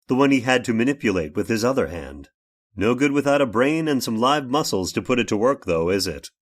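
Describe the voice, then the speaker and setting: An actor playing a courteous, ailing inventor of artificial limbs in a radio horror drama, speaking in a halting, rambling, genteel way. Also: male voice, medium pitch, lively intonation, slight background noise, lightly acted. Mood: musing, intrigued. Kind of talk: old time radio horror drama acting.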